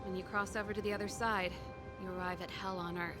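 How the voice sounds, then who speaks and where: A woman's voice: medium pitch, flat delivery, fast talker, close to an everyday voice. An actress voicing a confident, brash woman mechanic in a video game, with assertive, teasing, dryly persuasive delivery. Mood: grave, determined. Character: confident, brash, sardonic adult female mechanic. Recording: loud music under the voice.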